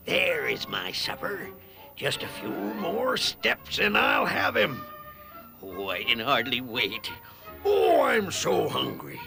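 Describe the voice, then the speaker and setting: High-voiced greedy cartoon villain-fool, theatrical and unhurried, swooping and gravelly, music underneath. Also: male voice, huge pitch swings, exaggerated voice. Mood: hungry, gloating. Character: greedy, gloating wolf and foolish greedy farmer. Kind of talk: theatrical cartoon fable acting.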